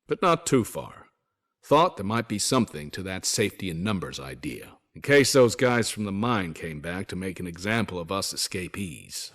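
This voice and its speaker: A man's voice: sits low, very animated, moderate pace, fairly bright tone, heavily acted. An actor voicing a weary, wry soldier turned survivor in a video game, narrating his letters home in a folksy, grumbling way. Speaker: voice actor reading journal notes. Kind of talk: dramatic first-person letter narration.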